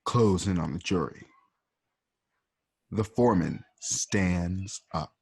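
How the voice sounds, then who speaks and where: A man's voice: low voice, takes it slowly, vocal fry, a little mellow, husky. A man narrating an amateur radio drama in a casual, playful way, with chatty asides and laughter.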